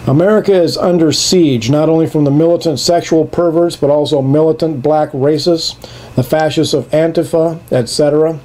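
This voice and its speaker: A man's voice: on the high side, steady tone, normal speed, mellow. A man in a played clip giving a stern, moralizing political speech.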